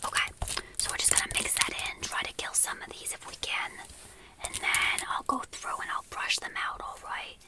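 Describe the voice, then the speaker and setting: A woman whispering close to the mic as an aunt combing out lice, chatty and reassuring, with gossipy asides. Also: normal speed. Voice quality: whispered throughout. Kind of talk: whispered ASMR roleplay.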